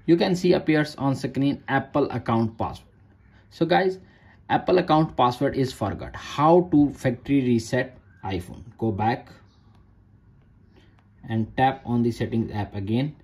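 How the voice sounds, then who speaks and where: A man's voice: mid-range voice, unhurried, a little mellow. A man narrating a phone tutorial in short, repeated step-by-step instructions in non-native English. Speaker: tech tutorial host.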